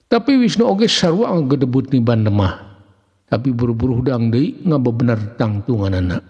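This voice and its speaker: A man with a Sundanese accent narrating a folk tale in a theatrical, expressive way, with a husky voice. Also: medium pitch, normal speed, highs cut off. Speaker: storyteller. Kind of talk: dramatic scripted narration of a folk mystery tale. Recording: long hall-like reverb.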